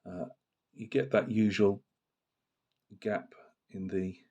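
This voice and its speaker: A man with a slight cold and a hoarse voice, chatting casually about his hobby to camera and rambling with many fillers and restarts. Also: lowish voice, little pitch movement, slow pace, darkish tone. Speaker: miniature painting channel host.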